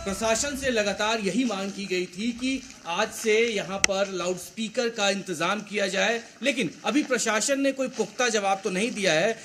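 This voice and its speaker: A man's voice: high voice, sharp tone, a little hiss or hum. A man giving an unscripted report from the field.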